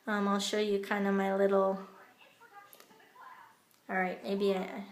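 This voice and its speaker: A woman's voice: mid-range voice, monotone, slow pace. Childish-voiced woman on an echoey phone. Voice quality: childish-sounding voice. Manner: chatty, with many ums and you-knows. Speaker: direct-sales makeup team leader. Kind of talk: casual training talk to her sales team. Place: home bathroom. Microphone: old smartphone camera.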